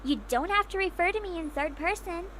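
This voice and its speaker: A woman's voice: very high voice, brisk, a bit bright, a little hiss or hum. A woman playing a teasing, easily exasperated young girl in a voiced visual novel. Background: game music.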